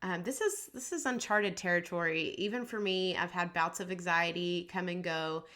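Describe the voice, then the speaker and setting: A woman's voice: lowish voice, normal speed, a bit bright. A woman hosting a podcast, talking in an upbeat, reassuring and chatty way, her voice a little scratchy from allergies. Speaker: podcast host. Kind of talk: casual podcast pep talk.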